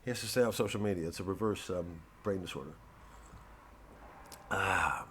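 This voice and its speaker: A man who is a comedian, talking casually to his audience in a halting, digressive monologue with repeated words. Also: low-pitched, fairly bright tone, slight background noise. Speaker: comedian, host.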